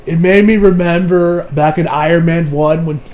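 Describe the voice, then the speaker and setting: A young man giving a casual, rambling movie review as an excitable, slangy fan who trails off into asides. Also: on the high side, normal speed, a little hiss or hum. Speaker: video reviewer. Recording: music behind the voice. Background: music.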